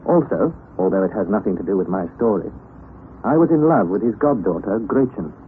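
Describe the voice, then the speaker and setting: A man's voice: fairly low pitch, animated, brisk, phone-quality sound, lightly acted. An actor playing an earnest young Englishman with an English accent, telling his adventure formally and dramatically in a radio play. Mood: reflective storytelling. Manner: articulate, formal, dramatic storytelling. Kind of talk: first-person radio drama narration and acting. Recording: noisy, thin, band-limited old radio recording.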